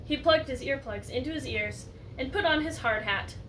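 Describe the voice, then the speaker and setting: A woman with a high voice reads a children's story aloud in an animated, teacherly way. She engages young listeners with questions and asides. The mic sits at a distance, with light noise behind her. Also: normal speed. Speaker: teacher reading a picture book to children.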